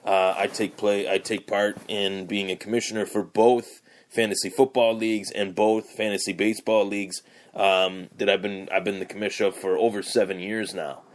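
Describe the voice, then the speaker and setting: Young man hosting a podcast solo, a bit hoarse and raspy, lowish voice, loose and rambling. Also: level tone, moderate pace. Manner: rambling, with many fillers and restarts. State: hoarse from yelling. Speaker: podcast host. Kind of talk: casual solo sports podcast.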